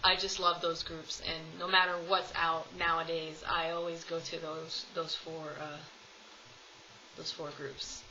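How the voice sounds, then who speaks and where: A woman's voice: low-pitched, level tone, measured pace, sharp tone, muffled recording, slight background noise. A woman in her twenties chatting casually to camera at home, rambling with lots of ums. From United States. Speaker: vlogger. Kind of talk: casual tag video chat. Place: home room, at home with cats.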